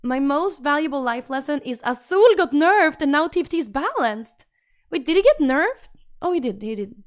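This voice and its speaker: A young Swedish-accented woman streaming from home, chatting loosely with her viewers. Her voice sits a little on the high side, and she repeats phrases as she reacts to chat. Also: very animated, normal speed. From Sweden. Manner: chatty, bubbly, repeats herself while reading chat. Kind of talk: casual livestream chat reading messages.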